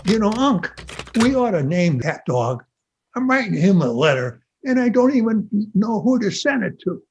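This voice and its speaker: A man's voice: high voice, sing-song, normal speed, slightly rough, dull audio, exaggerated voice. A man playing a cheeky, mischievous young schoolboy nephew in an old-time radio comedy. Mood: cheeky, playful. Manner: cheeky, complaining, playful.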